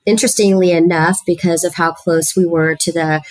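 A woman's voice: on the low side, steady tone, fairly quick, darkish tone, dry recording, low-quality recording. A middle-aged woman from South Texas, a guest on an interview podcast, telling her career story in an earnest, talkative way with frequent fillers. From South Texas, USA. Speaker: guest, student housing executive. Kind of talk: conversational storytelling.

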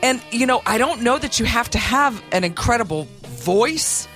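A woman's voice: on the high side, animated, moderate pace, fairly bright tone, soft background music. A woman co-hosting a radio show, chatting casually and reading through a ranked list. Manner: chatty, enthusiastic, conversational.

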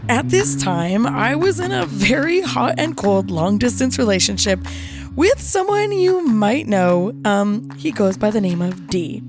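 A middle-aged woman with a raspy voice hosting a podcast, telling stories in a playful, dramatic, salty-tongued way. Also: on the high side, sing-song, a little slow, music in the background. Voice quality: raspy from overuse. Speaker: podcast host. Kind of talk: animated storytelling to listeners.

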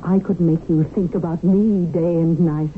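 An actress playing a poised, scheming, seductive widow in a 1970s radio drama, with a self-assured, insistent manner. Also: female voice, lowish voice, fairly even intonation, normal speed, natural voice. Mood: seductive, urging. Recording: tinny, noisy old radio broadcast.

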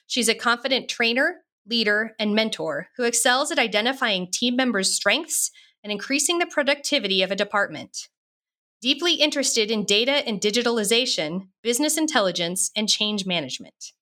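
A woman's voice: a little high, expressive, a little fast, bright, forceful tone. A woman hosting an interview podcast, reading a guest's introduction and asking upbeat questions. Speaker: podcast host.

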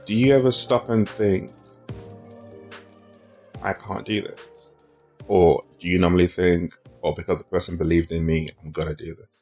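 Podcast host guy, really deep voice, earnest and encouraging, just chatting with his guest. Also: steady tone, moderate pace, pauses often, darkish tone, soft background music. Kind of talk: conversational interview.

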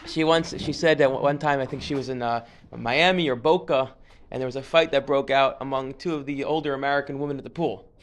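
A man's voice: mid-range voice, fairly even intonation, a little fast. A man giving an informal history lecture, chatty and digressive, often restarting sentences and dropping joking asides.

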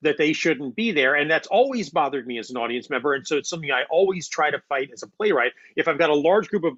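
Guy on the higher side explaining writing stuff in an interview, sound a bit muffled. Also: lively intonation, quick pace, fairly bright tone. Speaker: playwright and audio drama writer.

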